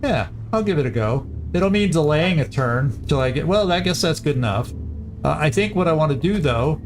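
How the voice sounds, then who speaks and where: A man's voice: on the high side, animated, normal speed. A man commentating a strategy game, thinking aloud with hesitant restarts as he plans each move. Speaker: gameplay commentator. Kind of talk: casual let's-play commentary. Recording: game music playing behind.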